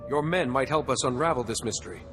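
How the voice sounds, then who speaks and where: An actor playing a calm, principled, earnest warrior monk in a video game, speaking in a measured, formal way. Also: male voice, medium pitch, big-room sound, over music, natural voice. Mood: composed. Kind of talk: video game cutscene acting.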